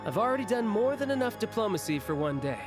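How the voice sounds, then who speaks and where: A man's voice: high-pitched, very animated, fast. A man voicing an easygoing young warrior turned diplomat in an anime game, breezy, sincere and reassuring. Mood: earnest, easygoing. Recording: music behind the voice.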